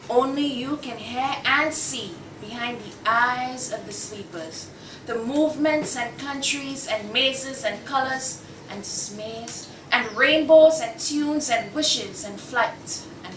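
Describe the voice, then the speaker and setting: A young woman playing the narrator of a sleeping town, reciting poetically in a table read of a radio play. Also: fairly high pitch. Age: young adult. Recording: echoey noisy room, distant mic.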